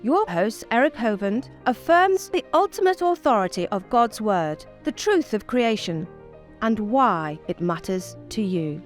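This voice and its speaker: A woman's voice: medium pitch, big pitch swings, a little slow, soft background music. A woman announcer reading a scripted show introduction in a polished manner.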